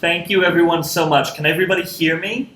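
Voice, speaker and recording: A man's voice: on the high side, fairly even intonation, fast talker, very noisy. Upbeat teacherly man in an echoey hall. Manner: upbeat, teacherly, many fillers. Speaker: university archivist and lecturer. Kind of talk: public history lecture. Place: lecture hall. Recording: echoey hall with faint clicks.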